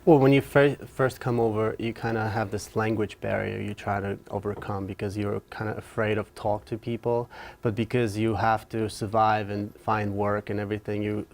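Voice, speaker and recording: Low, gravelly young man. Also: flat delivery, moderate pace. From Ukraine. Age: young adult. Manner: hesitant, many fillers and restarts. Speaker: student guest. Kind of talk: casual interview talk.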